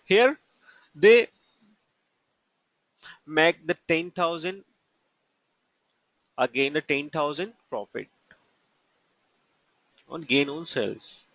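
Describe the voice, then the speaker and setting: A man with an Indian accent gives an instructional lecture in a slightly high voice. He moves haltingly, phrase by phrase, as he explains each example. The recording is dry and close, with a dull, lo-fi sound. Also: expressive, fairly bright tone, dry recording. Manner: halting, broken into short phrases. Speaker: trainer.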